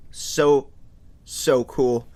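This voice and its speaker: A man's voice: mid-range voice, steady tone, very slow, darkish tone. A man casually walking through his music production in a home studio, rambling and self-deprecating with lots of fillers. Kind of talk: casual tutorial walkthrough. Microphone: studio microphone.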